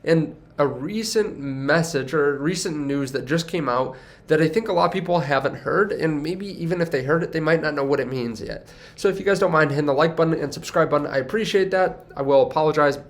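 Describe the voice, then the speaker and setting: A man's voice: a little high, brisk. A young man with a congested, stuffy voice, talking casually to camera as a finance video host, rambling and correcting himself as he goes. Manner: rambling, self-correcting, upbeat. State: congested from a cold.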